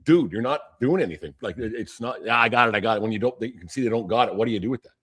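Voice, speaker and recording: A man's voice: on the low side, lively intonation, racing, a bit bright, slightly rough, no room sound. A man hosting a casual baseball podcast interview, asking rambling, jokey questions with false starts.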